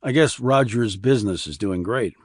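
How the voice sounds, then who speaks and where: A man's voice: on the low side, moderate pace, dry recording, studio quality, natural voice. A man voicing a blunt, probing army investigator in audiobook dialogue, terse and questioning.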